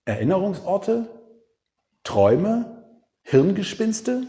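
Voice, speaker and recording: A man preaching a reflective sermon, with dramatic pauses, rhetorical questions and emphatic single words. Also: sits high, sing-song, mellow, big-room sound. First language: German.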